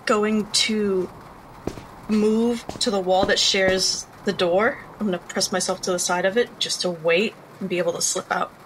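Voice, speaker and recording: A woman's voice: medium pitch, fairly bright tone, low-quality recording. A woman in a tabletop roleplaying game, casually describing what her character does.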